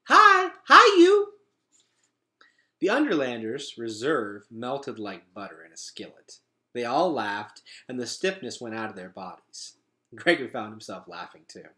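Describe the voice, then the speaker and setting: Guy doing an expressive read-aloud, bright forceful voice, really animated pitch. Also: moderate pace. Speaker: storybook reader.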